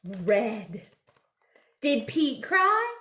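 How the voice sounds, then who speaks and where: A woman reading a picture book aloud to young children in an animated, interactive way, as a librarian at storytime. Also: a little high. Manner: animated, slow and deliberate questioning with exclamations. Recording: roomy, echoing sound.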